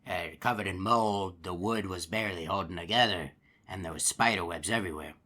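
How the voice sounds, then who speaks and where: An easygoing hippo animatronic, voiced by a man, deep yet bright and forward in tone. He rambles through a folksy yarn, peppered with uh and you know. A fan-game character monologue. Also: a little fast. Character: chatty male hippo animatronic, easygoing storyteller.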